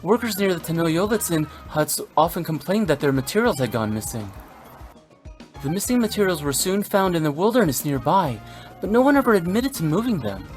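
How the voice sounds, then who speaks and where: A woman playing a composed, businesslike resort manager in a fantasy game, speaking in a measured, explanatory way. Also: lowish voice, sing-song, a little mellow, faint music behind. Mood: serious. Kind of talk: scripted video game dialogue.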